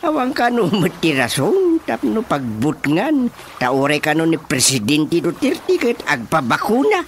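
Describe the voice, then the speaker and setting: High-voiced village chairman, Ilocano accent. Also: wildly swooping pitch, unhurried, slight background noise, full character voice. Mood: concerned. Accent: Filipino, Ilocano. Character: talkative village chairman, exasperated, gossiping man. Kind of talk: radio drama comedy acting. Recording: loud music bed under voice.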